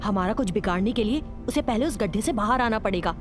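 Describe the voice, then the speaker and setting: A woman voicing a devoted, protective she-devil wife in a cartoon story, earnest and expressive. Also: medium pitch, quick pace, over music, close to an everyday voice. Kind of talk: Hindi cartoon story voice acting.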